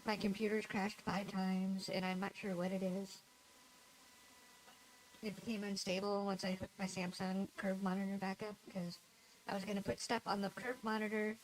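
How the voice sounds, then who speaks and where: A woman's voice: medium pitch, a little hiss or hum, poor audio. A woman livestreaming a game, talking casually in a nasal voice, rambling and giggling at herself. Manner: rambling, giggly, self-deprecating. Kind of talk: casual gameplay chat.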